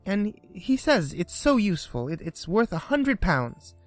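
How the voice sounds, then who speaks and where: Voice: mid-range voice, lively intonation, normal speed, no room sound, faint music behind, voice pushed up, natural voice. A reader voicing a polite, chatty young girl who stumbles over her words in a storybook reading. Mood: eager.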